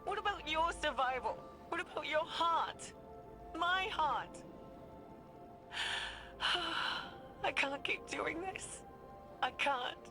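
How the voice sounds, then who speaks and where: An actress playing an anguished, guilt-ridden woman scientist dictating research logs in a measured, hesitant, breathy way, as in a dramatic video game. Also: sits high, expressive, heavily acted. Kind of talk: dramatic video game voice acting, recorded log. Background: music.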